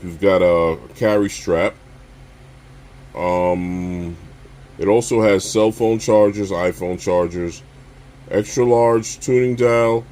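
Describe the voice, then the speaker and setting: Low-voiced man reviewing gear casually. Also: fairly even intonation, takes it slowly, darkish tone. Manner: addresses viewers as guys, checks in with okay and all right. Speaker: survival channel host reviewing gear. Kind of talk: casual unscripted product review and unboxing.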